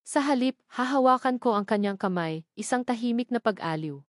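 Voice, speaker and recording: A woman's voice: fairly high pitch, lively intonation, a little fast, no echo, studio quality. A woman reading a scripted first-person love story as a dramatic narrator. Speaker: narrator of a romance audio drama.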